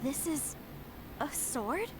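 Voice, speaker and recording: A woman's voice: high voice, big pitch swings, slow pace, background noise, exaggerated voice. A woman playing an earnest, insistent young devotee of a fallen god in a video game. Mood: excited, fervent. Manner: dramatic, insistent, fervent.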